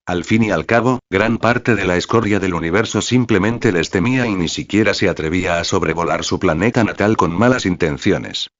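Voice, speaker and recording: A man narrating a fan novel in a steady, even audiobook style. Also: low-pitched, fairly quick, few pauses, no room sound, lo-fi sound.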